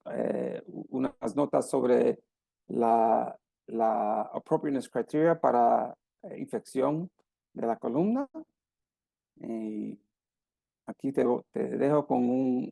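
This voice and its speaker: A Puerto Rican man lectures on medicine in slow, halting Spanish, circling back over his words. His voice is gravelly and mellow. The sound is dry and close, as on a video call. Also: mid-range voice, dry recording. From Puerto Rico. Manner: halting, with many restarts and repeated words. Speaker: radiologist lecturer.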